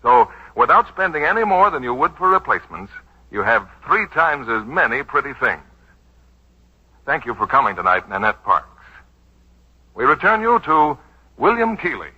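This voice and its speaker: A man with a husky voice announcing in a scripted 1940s radio commercial style. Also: mid-range voice, big pitch swings, moderate pace, pauses often. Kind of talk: 1940s radio advertising read. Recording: muffled vintage 1940s radio broadcast.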